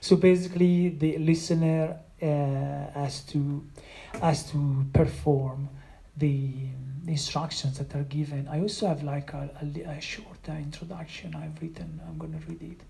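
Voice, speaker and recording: A sound artist, not a native English speaker, explaining their own piece with many pauses and restarts. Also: medium pitch, level tone, gravelly, warm tone. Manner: hesitant, many restarts and fillers. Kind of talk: explaining own work in a conversation.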